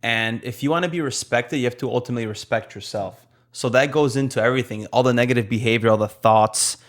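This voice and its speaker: Man, Polish accent, Polish native speaker, nurse podcast co-host, blunt and relaxed, middle pitch. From Poland. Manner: relaxed, blunt, slows down to stress points. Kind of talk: casual podcast chat.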